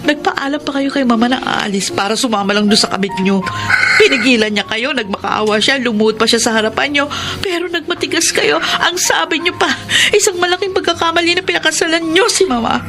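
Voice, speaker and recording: A hurt, unforgiving grown daughter with a Filipino accent. Her high voice is stern and accusing, full of radio drama emotion, with music underneath. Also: a little fast. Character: bitter, wounded adult daughter, unforgiving mother.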